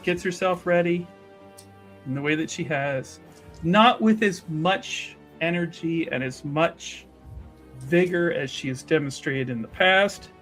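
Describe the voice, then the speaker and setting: A man playing a tabletop roleplaying game, narrating his character in halting, deliberate, solemn phrases. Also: on the high side, fairly bright tone.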